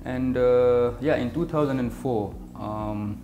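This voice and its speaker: A Kenyan man in his thirties, an optician and business owner, answering interview questions in a measured way, with many fillers. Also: mid-range voice, phone-quality sound. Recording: echoey room with music behind.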